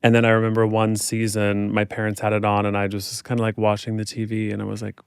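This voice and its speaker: American guy guest on a podcast, smooth lowish voice, candid casual storytelling. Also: monotone, quick pace, no echo, studio quality. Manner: informal, candid, playful.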